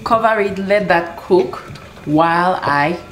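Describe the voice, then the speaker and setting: A woman's voice: on the low side, slow pace, a little hiss or hum. A woman from Cameroon in her thirties hosting a cooking tutorial in her home kitchen, eagerly explaining each step as she cooks. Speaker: cooking show host. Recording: echoey kitchen with faint music.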